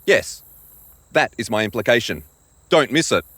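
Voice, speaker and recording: A man's voice: medium pitch, expressive, moderate pace, a bit bright, dry recording. Man voicing a cocky, smug, taunting adventurer. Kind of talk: audio drama acting.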